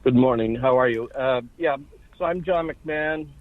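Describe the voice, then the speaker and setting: A man in his sixties, from Ireland, telling his life story as an interview guest in a measured way. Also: mid-range voice. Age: 60s. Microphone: phone. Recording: tinny phone line.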